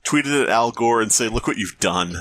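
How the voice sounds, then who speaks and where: A man's voice: medium pitch, animated, brisk, fairly bright tone, no echo. A man co-hosting a podcast with irreverent, giggly, playful quips in casual comedic banter. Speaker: podcast co-host.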